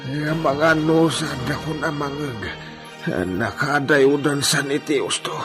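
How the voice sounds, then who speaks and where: A man with an Ilocano accent acting an excitable character who mutters and wails to himself in a radio drama. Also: a little high, slow. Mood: panicked, calling. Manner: muttering to himself with moans and exclamations. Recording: loud music behind the voice.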